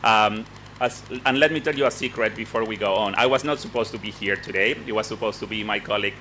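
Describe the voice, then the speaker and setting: A man's voice: fairly low pitch, quick pace, pushed and bright, slight background noise, poor audio. A man from Argentina with an Argentine accent gives a semi-formal speech as a program director, speaking English as a native Spanish speaker and often repeating words as he searches for phrasing. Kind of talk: semi-formal conference speech, spoken off the cuff. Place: conference event with participants.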